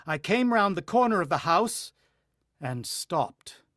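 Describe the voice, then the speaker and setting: Actor as desperate, haunted man, fairly high voice. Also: sing-song, slow pace, a bit bright, no echo, studio quality, exaggerated voice. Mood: grim, haunted. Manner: grave, clipped, intense storytelling. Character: desperate, haunted husband narrating a bird-attack siege. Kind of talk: dramatic radio play narration and dialogue.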